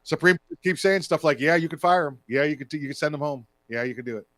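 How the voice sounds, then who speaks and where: American guy chatting politics, voice a touch high, very dry audio, keeps stopping and repeating himself. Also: fast talker, a bit bright, no echo. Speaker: political talk show co-host. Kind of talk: casual political commentary chat.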